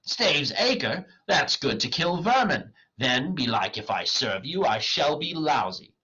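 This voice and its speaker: A man's voice: fairly high pitch, sing-song, unhurried, sharp tone, husky, muffled recording, pitched above the performer's own voice, heavily acted. A man voicing a poor, cheeky clown servant in an Elizabethan comedy, theatrical and exclaiming. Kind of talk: Elizabethan stage comedy reading.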